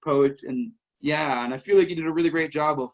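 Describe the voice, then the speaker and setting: A man's voice: a little high, brisk, muffled recording. A man hosting an interview, asking questions casually and offering short encouraging replies. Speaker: interviewer host.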